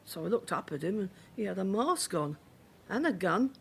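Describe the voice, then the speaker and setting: A woman's voice: medium pitch, sing-song, normal speed, creaky, slightly rough, full character voice. A woman acting a flustered, indignant ordinary witness in a radio drama, rambling and defensive. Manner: rambling, defensive, matter-of-fact.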